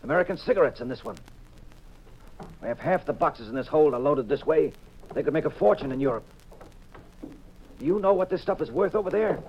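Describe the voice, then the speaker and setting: An actor playing a cool, shrewd private detective, brisk and assured, in a hard-boiled 1950s radio drama style. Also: male voice, medium pitch, natural voice. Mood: excited, deducing. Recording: muffled, hissy 1950s radio recording.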